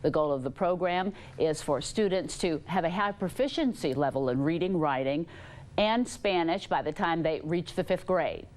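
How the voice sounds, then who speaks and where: Lower-pitched woman reading the news. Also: expressive, a little mellow. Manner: measured, clear newsreader delivery. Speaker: news anchor.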